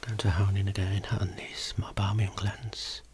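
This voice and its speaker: A person performing an ASMR medical examination roleplay as a dermatologist, speaking in a soft, breathy whisper with a gentle, reassuring clinical manner. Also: deep voice, flat delivery, normal speed, slight background noise.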